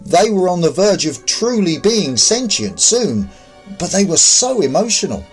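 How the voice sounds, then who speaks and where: A man's voice: high-pitched, big pitch swings, unhurried, faint music behind. A man who is an author narrating his own story in a scripted audiobook style.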